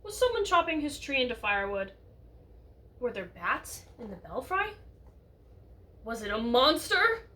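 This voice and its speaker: A woman reading a picture story aloud like a teacher, animated and chatting to young listeners with questions. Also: fairly high pitch, a little slow, long pauses. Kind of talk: expressive read-aloud story narration with asides to children. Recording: distant mic, roomy background noise.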